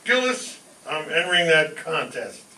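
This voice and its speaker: An actor playing a blundering, excitable, scheming working-class family man in a 1940s-style radio sitcom. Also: on the high side, measured pace, exaggerated voice. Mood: excited. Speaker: amateur performer in a staged radio show. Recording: echoey room, distant mic, faint noise.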